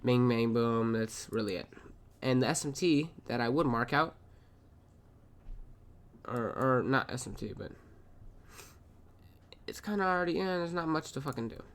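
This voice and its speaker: A man's voice: mid-range voice, normal speed, pauses often. A man with a sniffly nose, reviewing his own trades aloud in a casual, profane recap, often muttering to himself.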